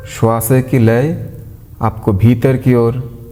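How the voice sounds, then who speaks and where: Guy doing guided meditation, soft mellow voice, gentle and devotional, big long reverb on it. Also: medium pitch, flat delivery. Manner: gentle, unhurried instruction with short pauses between phrases. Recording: long reverb, like a large hall.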